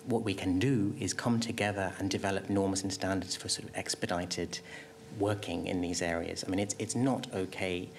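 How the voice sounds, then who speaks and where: A middle-aged man speaking as an expert panelist, answering off the cuff at a table microphone with halting restarts and emphatic repetition. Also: low-pitched, steady tone. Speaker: panelist, health agency official. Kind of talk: panel discussion answer, spontaneous expert talk. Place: conference auditorium panel table.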